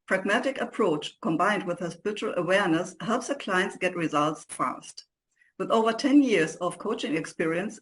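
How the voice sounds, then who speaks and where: Female interview host. Medium-pitched voice, somewhat hesitant phrasing while introducing and questioning a guest. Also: rough recording. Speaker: show host.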